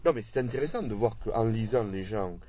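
Man, very deep and mellow voice, measured interviewer, faint background noise. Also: lively intonation. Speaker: interviewer, researcher. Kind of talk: casual conversation.

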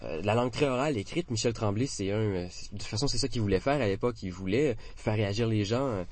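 A man in his forties with a Québécois French accent, talking in a relaxed, hesitant way full of fillers and restarts. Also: on the low side, fast talker. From Quebec. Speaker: interviewee. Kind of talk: casual conversation.

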